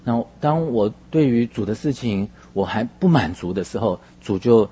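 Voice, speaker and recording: A man interpreting a sermon phrase by phrase, husky and somewhat halting, rephrasing as he goes. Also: on the low side, expressive, mellow, slight background noise.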